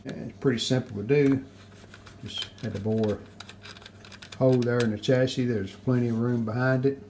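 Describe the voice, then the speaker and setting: A man with a mid-range voice hosts a do-it-yourself video. He narrates the hands-on work casually and plainly, without a script, often pausing partway through a sentence. Noticeable background noise and room ambience sit behind his voice. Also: medium pitch, fairly even intonation, darkish tone. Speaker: host of a radio restoration video channel.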